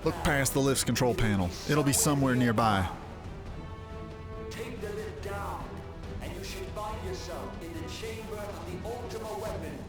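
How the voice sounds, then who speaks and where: An actor playing a gruff but caring military ally in a video game, urgent and informal as he briefs a comrade. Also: on the high side, expressive, moderate pace, long pauses, music in the background, lightly acted. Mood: wry, watchful.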